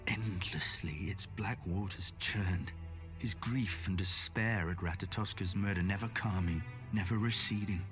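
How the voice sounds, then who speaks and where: Weary fantasy knight, solemn, breathy, archaic phrasing, beaten and sorrowful, music behind. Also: male voice, low-pitched. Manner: slow archaic, solemn speech. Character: exhausted, grieving dragon-slaying knight, solemn and beaten. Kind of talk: dramatic video game acting. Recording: orchestral game music behind.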